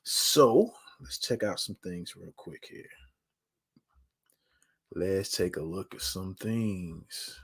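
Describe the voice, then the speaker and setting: Low, easygoing livestream host man. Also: expressive, slow pace, long pauses, slightly rough. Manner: loose, rambling, easygoing. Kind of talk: casual live commentary.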